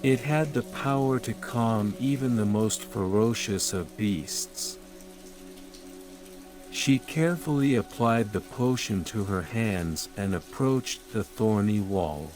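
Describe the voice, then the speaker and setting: A man reads a bedtime fairytale at a very unhurried pace, in a fairly low voice. His delivery is measured and soothing. Calm music and falling rain play clearly behind him. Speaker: bedtime story narrator. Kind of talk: slow scripted bedtime story narration.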